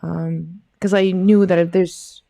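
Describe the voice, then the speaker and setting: A woman's voice: fairly low pitch, level tone, takes it slowly, creaky, warm tone, no echo. A woman speaks as a guest in a radio studio. She answers in an earnest, heartfelt way. Her voice is dry and close to the mic. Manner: earnest, speaks fondly about her work. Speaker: real estate attorney, guest. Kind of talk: radio interview.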